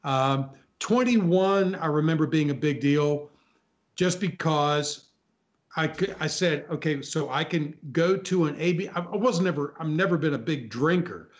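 A man in his sixties with a slightly high voice speaks as a guest in a casual chat. He reminisces conversationally and tends to repeat himself for emphasis. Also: unhurried, muffled recording. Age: 60s. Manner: chuckles, repeats phrases for emphasis. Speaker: talk show guest.

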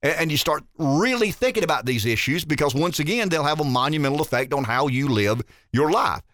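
A man's voice: a little high, brisk, dry recording, studio quality. A man in his fifties hosting a talk radio show, musing aloud and asking rhetorical questions. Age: 50s. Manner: animated, rambling, rhetorical questions.

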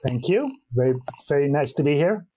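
A man's voice: a little high, expressive, fairly quick, dark tone. A man from the Netherlands with a Dutch accent, a professor answering interview questions in a hesitant way with many fillers. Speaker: animal breeding professor, guest.

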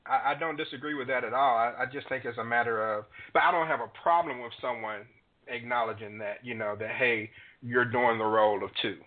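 A man hosts a talk show in a calm, agreeable way and moves easily into sponsor reads. His voice sits at an ordinary pitch. It comes through a narrow, phone-like line. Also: a little fast. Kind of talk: radio talk show hosting. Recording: thin phone-line sound.

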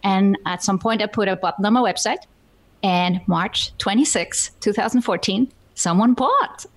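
A middle-aged woman with an Icelandic accent talks as a podcast guest. Her voice sits at an ordinary pitch for a woman. She speaks plainly and without fuss, setting out her business story step by step. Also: normal speed. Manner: plain-spoken, matter-of-fact storytelling. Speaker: guest entrepreneur. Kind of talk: conversational podcast interview.

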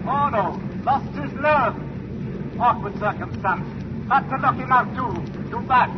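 A man's voice: very high voice, unhurried. Unflappable male ship's captain, understated delivery, vintage radio drama, heavy noise over muffled sound. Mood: grimly understated. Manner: understated, matter-of-fact, terse. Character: stolid, unflappable male sea captain in a storm. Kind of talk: 1940s radio drama acting. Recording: noisy muffled 1940s radio recording.

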